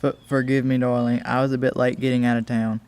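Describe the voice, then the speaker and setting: A teenage boy in an amateur school radio play, voicing a flustered, chatty gentleman husband with a creaky voice and a stammer. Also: medium pitch, little pitch movement, fast, natural voice. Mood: jovial, apologetic. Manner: stammering, talkative, eager to please. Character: flustered, chatty, joke-telling English gentleman husband and master.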